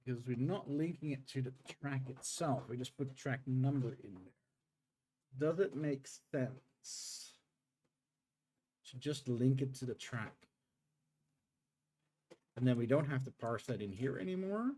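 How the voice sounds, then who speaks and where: A middle-aged man with a tired throat, streaming live game development and talking through his code in halting, unhurried steps. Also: medium pitch, warm tone. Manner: halting, thinks aloud with many pauses and um's. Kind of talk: live coding stream, thinking aloud.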